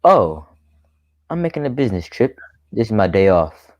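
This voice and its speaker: A man plays a smug, scheming gentleman in an old-time radio mystery. His voice is low and closely recorded, all mock courtesy and sly taunting. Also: very animated, moderate pace, no echo. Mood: breezy, sardonic. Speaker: actor in a student radio drama.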